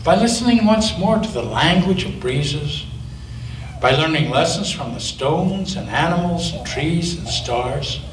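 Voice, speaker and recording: A man voicing a wise, gentle ancient female turtle, speaking slowly and softly, recorded at a distance in a roomy space with faint hiss. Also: a little high, animated.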